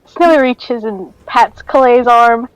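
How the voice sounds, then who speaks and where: A woman's voice: a little high, slow pace, smooth, no room sound, low-quality recording. A woman playing a tabletop role-playing game, casually describing what her character does.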